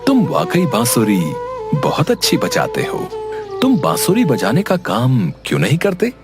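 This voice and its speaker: A man playing a calm, mysterious wandering holy man in an animated folk tale, speaking slowly, deliberately and solemnly. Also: a little high, over music. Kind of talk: Hindi animated story dub.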